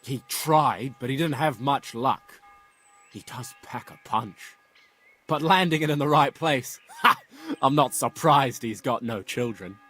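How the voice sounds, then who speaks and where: An actor playing a combative medieval brawler in a video game, husky, blunt and coarsely mocking. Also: male voice, mid-range voice, unhurried, bright, forceful tone, soft background music. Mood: scornful, mocking. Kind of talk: video game dialogue acting.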